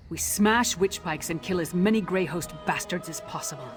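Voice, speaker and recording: An actress playing a tough, battle-hardened warrior scout in a video game, blunt, commanding and dry. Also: female voice, fairly low pitch, normal speed, over music, natural voice. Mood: grimly determined. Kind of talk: video game quest dialogue.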